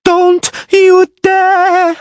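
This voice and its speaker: A man voicing a shrill, capricious female mermaid who trills high and theatrically in a storybook reading. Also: slow, warm tone, no echo. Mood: screaming. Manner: high trilling singsong, dramatic.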